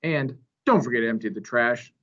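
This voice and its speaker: A man's voice: mid-range voice, fairly quick, a bit bright, natural voice. A man voicing a brusque, offhand male boss in a storybook. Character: gruff, unconvinced male boss, casually brusque. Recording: dull, lo-fi recording.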